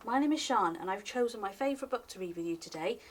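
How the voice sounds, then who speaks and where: A woman reading a children's picture book aloud in an expressive, singsong way, speaking directly to young listeners as a library storytime reader. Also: medium pitch, quick pace.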